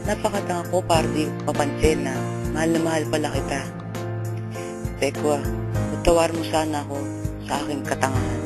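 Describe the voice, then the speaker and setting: Woman acting a low-voiced earnest lovestruck boy. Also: close to an everyday voice. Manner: earnest, melodramatic. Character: remorseful, lovestruck teenage boy. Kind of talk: amateur radio drama acting. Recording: loud music under the voice.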